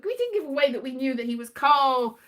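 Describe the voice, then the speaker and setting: Woman from Wales, middle-aged, bright forceful tone, very animated intonation, scatty casual rambling, digressive, thinking out loud. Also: high-pitched, fairly quick. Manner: scatty, digressive, thinking aloud, muttering asides to herself. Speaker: writer and performer, host.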